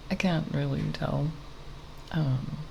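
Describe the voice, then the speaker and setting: An ASMR creator speaking softly and breathily, half whispering in a halting, unscripted ramble with long pauses, close to the microphone. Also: medium pitch, noisy background. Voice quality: soft, breathy, partly whispered.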